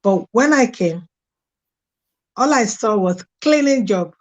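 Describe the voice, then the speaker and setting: A woman's voice: a little high, expressive, slow pace, darkish tone. A middle-aged woman from Nigeria with a Nigerian accent, a guest frankly telling her life story in an interview. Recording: dull lo-fi sound.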